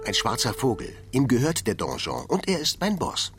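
A boastful duck hero played by a man tells his tale with huge swoops in pitch. The delivery is dramatic radio-play narration, with prominent music underneath. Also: very animated, normal speed, close to an everyday voice. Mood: grandiose. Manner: dramatic, storytelling.

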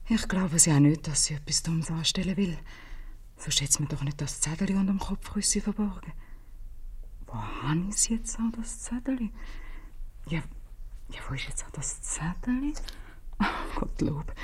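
A woman's voice: on the low side, a little mellow, slight background noise, close to an everyday voice. A woman playing a middle-aged, worried, plain-spoken innkeeper's wife in a 1950s Swiss German radio comedy. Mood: worried, murmuring. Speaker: actress in a radio play.

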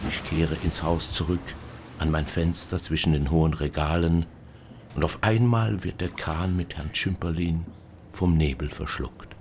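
Male narrator, very low voice, measured poetic reading of literary prose, clear ambient noise behind. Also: darkish tone.

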